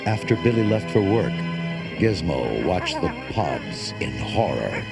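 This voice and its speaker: A man narrates a children's story with dramatic flair and a hugely varied, fairly low voice. Loud music plays behind him on a cassette transfer. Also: very animated, unhurried, creaky.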